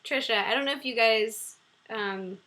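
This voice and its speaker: Young woman, bubbly livestream host, fairly high voice, bright and sharp tone, laughs a lot, hums while reading, webcam mic at home. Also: normal speed. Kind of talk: casual livestream chat. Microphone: computer webcam mic.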